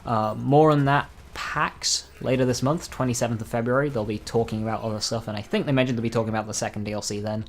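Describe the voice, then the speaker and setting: A man with a medium-pitched voice gives casual commentary on gaming news. He speaks informally, as a video creator does to viewers, and slips in dry, joking asides. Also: fairly even intonation, fast, hardly any pauses.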